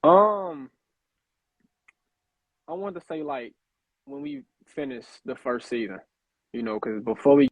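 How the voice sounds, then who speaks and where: Laid-back young man from Chicago, gravelly. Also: mid-range voice, huge pitch swings, measured pace, pauses often, dry recording. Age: young adult. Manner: laid-back, rambling with many fillers. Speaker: actor guest. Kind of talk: casual interview chat. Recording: tinny phone-like line.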